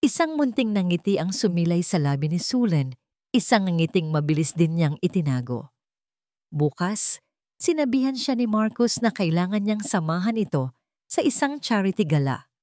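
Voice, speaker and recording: A woman's voice: fairly low pitch, big pitch swings, normal speed, a little mellow, dry recording, clean studio sound. A woman storyteller reading a scripted romance story in a dramatic narrating style.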